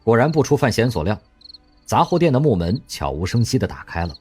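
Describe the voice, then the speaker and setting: A man narrating an audio drama in an expressive storytelling manner. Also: mid-range voice, brisk, soft background music.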